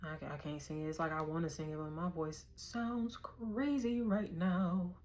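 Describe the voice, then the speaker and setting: A woman's voice: fairly low pitch, steady tone, normal speed, darkish tone. A woman, giving a casual, slangy tarot reading and thinking aloud as she goes. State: hoarse. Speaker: tarot reader.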